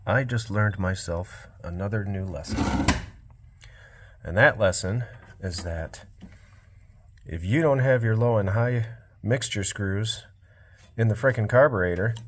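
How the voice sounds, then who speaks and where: A man narrating his small-engine repair work in a casual, quiet, low-key way, recorded on a cell phone in a home workshop. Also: slow pace, long pauses, slightly rough. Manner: quiet, low-key, self-described monotone.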